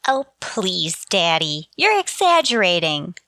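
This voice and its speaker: A woman's voice: lowish voice, very animated, slow pace, sharp tone, dry recording, clean studio sound, full character voice. A woman playing an earnest, polite teenage girl, a principal's daughter, in a radio comedy. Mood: protesting, earnest. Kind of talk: old-time radio comedy acting.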